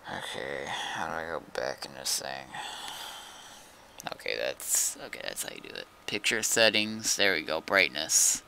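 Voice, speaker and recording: Deep gravelly man, very bright sound. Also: expressive, a little slow, slight background noise, rough recording. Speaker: streamer playing a zombie survival game. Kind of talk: casual gaming chat.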